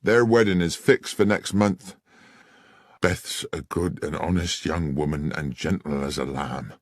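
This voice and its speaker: A man's voice: a bass voice, measured pace, no echo. A man reading aloud, voicing an agitated elderly innkeeper defending his family. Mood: alarmed. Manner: agitated, indignant. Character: elderly male innkeeper, protective, hot-tempered.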